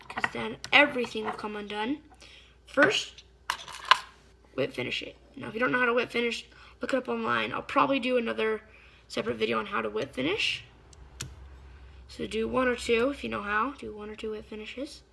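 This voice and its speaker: Hoarse woman teaching fly tying. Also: a little high, steady tone, normal speed, long pauses, pushed and bright, slight background noise. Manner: chatty, stops to search for words. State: slightly hoarse. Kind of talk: casual hands-on tutorial.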